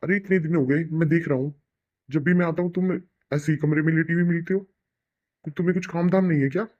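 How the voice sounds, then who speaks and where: Man playing a resentful husband, highish voice. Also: fairly even intonation, brisk, narrow, tinny audio, natural voice. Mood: cold, irritated. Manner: reproachful, sarcastic. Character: cold, irritated young husband, resentful and sarcastic. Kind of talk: melodramatic Hindi cartoon voice acting.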